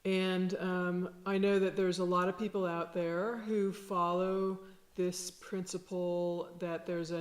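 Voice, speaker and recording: A woman with a low voice, a sound healer giving a gentle, unhurried lesson. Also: reverberant. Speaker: shamanic sound healer teaching. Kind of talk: slow calm instructional talk.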